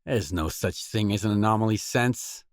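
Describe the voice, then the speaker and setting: A man's voice: fairly low pitch, moderate pace, no echo, clean studio sound, close to an everyday voice. An actor playing a wary, pragmatic male loner stalker in a video game, speaking in a terse, blunt, matter-of-fact way. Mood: blunt, disapproving. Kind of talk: game dialogue voice lines.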